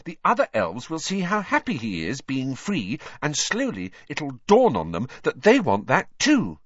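A man's voice: medium pitch, wildly swooping pitch, a little slow, a bit bright, husky, dry recording, highs cut off, voice pushed up, heavily acted. A man doing a firm, sensible schoolgirl. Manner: firm, matter-of-fact. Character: brisk, earnest teenage schoolgirl, sensible and firm.

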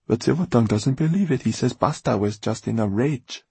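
A man's voice: medium pitch, fairly quick, vocal fry, mellow, slightly rough, no room sound, pitched above the performer's own voice. A man voicing an eager, touchy teenage boy with a defensive, hesitant manner in an audiobook. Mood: rueful, defensive. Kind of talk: audiobook character dialogue.

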